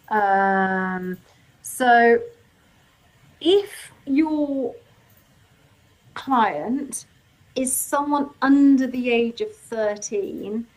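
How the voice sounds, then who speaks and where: A woman hosting a live legal webinar, reading out audience questions and answering them informally, her voice getting croaky. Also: medium pitch, rough recording. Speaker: legal workshop host.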